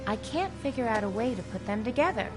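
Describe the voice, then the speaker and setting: Lively, wry young adventurer girl. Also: fairly high pitch, quick pace, over music. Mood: musing. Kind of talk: video game character dialogue.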